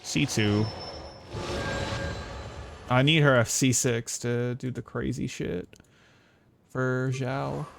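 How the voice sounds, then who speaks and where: A man's voice: medium pitch, fairly even intonation, takes it slowly, gravelly, long pauses, a bit bright, a little hiss or hum. A man streaming a game with casual commentary, rambling and thinking aloud in an informal way. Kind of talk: casual livestream gameplay commentary. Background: faint game music.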